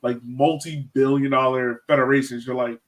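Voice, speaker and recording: A man's voice: mid-range voice, fairly even intonation, normal speed. Laid-back man heard from off-mic. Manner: loose, conversational, filler-heavy. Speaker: podcast host, soccer journalist. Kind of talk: casual interview chat. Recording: voice distant from the mic.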